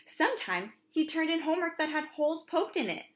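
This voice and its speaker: A woman's voice: sits high, big pitch swings, fairly quick. A woman preschool teacher reads a storybook aloud for young children, expressively, with simple asides to her listeners.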